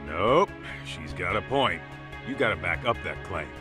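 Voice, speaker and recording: Brash, low-voiced male detective. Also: animated, unhurried, over music. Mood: dry. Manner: blunt, slangy. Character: brash, hot-headed male detective, blunt and loyal. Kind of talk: English-dubbed video game cutscene acting.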